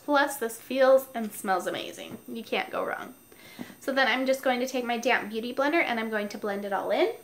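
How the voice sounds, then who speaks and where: Woman vlogger kinda stuffy, higher voice, talks thru each makeup step, faint hiss from the mic. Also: moderate pace, a bit bright. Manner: chatty, explains each step. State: stuffy nose. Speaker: beauty vlogger. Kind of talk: casual makeup tutorial. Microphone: noisy mic.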